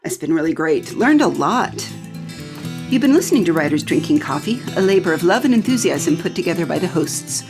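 A woman's voice: on the low side, very animated, brisk, music in the background. A woman speaking as a podcast host, in an informal interviewing and announcing style.

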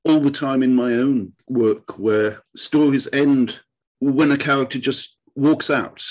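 Husky-edged, musing English man. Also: mid-range voice, unhurried, darkish tone. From England, born in Oxford, adult life in Newcastle. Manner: musing, hesitant, self-qualifying. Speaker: writer, interview participant. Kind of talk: reflective casual conversation.

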